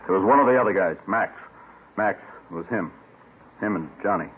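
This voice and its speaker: A cornered, bitter male criminal acted by a man in an old radio police drama. His low voice is defensive and emphatic, hammering on the same phrases. The recording is narrow and noisy. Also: brisk, lightly acted. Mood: defensive, sullen. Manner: emphatic, repetitive denials and outbursts. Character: bitter, defensive male murder suspect under interrogation. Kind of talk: 1950s radio crime drama acting.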